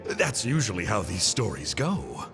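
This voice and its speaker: Wildly swooping, theatrical, genteel outsider. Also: male voice, lowish voice, huge pitch swings, measured pace, in a large hall, over music, exaggerated voice. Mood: mock shocked. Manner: theatrical, exaggerated wonder, genteel. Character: bespectacled man, eager, theatrically amazed outsider. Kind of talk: video game voice acting.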